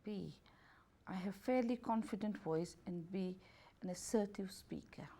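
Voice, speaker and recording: Woman, gravelly, dark mellow tone, health therapist, reading options aloud, unhurried, slightly non-native grammar. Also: medium pitch. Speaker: holistic health and beauty therapist. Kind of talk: reading out a questionnaire.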